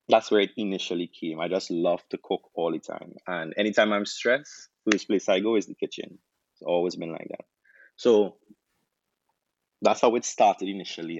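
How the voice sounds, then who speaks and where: Deep-voiced Trinidadian man, dry sound. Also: no room sound, highs cut off. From Trinidad and Tobago. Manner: relaxed, storytelling, frequent restarts. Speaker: doctor turned chef, guest. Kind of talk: casual interview answers.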